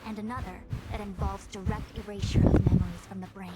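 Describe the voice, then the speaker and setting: A woman's voice: medium pitch, steady tone, quick pace, lo-fi sound, very noisy, natural voice. A woman playing a learned, exacting diviner in a video game, speaking formally and precisely in long explanations. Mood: lecturing. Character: learned, exacting female diviner, long-winded and self-assured. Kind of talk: scripted video game character dialogue.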